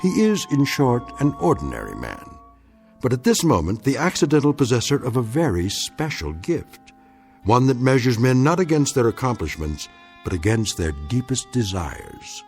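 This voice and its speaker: An actor narrating a radio drama in a wry, measured, theatrical storytelling manner. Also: male voice, mid-range voice, sing-song, a little mellow, soft background music. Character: wry older male anthology narrator.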